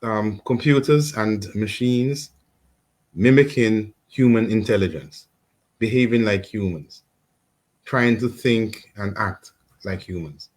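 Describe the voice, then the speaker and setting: A man's voice: fairly low pitch, slow pace, a little mellow. A male guest explaining technology on the radio, hesitant, with many ums and restarts. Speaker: guest expert on artificial intelligence. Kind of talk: remote radio interview.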